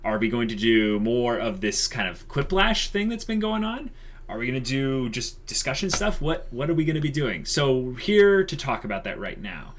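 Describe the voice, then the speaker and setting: A man's voice: medium pitch, sing-song, normal speed, a little hiss or hum. A young man co-hosting a channel announcement, speaking casually and conversationally with plenty of likes and you-knows. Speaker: channel co-host.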